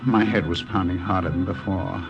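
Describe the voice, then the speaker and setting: A man's voice: sits low, steady tone, normal speed, gravelly, dull audio. An actor playing a sick young American soldier who tells his jungle ordeal wearily in an old radio drama. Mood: weary, grim. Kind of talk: 1950s radio drama acting, first-person narration. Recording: old radio broadcast, music behind.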